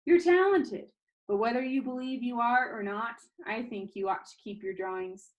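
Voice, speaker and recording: A woman voices a kindly old grandfather from a storybook. He talks plainly and with gentle insistence, firm but encouraging toward his grandchild. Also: on the high side, moderate pace, lo-fi sound, close to an everyday voice.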